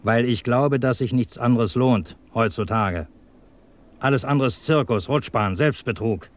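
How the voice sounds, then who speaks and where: A man's voice: mid-range voice, unhurried, natural voice. A man acting a hardened, persuasive communist agent and former officer in a German radio play, with a sardonic, insistent manner. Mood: insistent, confiding. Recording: slight background noise.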